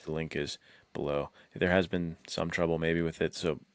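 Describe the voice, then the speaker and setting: Deep gravelly guy chatting on a podcast, bro slang, close dry sound. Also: little pitch movement, moderate pace, no echo. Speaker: podcast co-host. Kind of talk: casual chat.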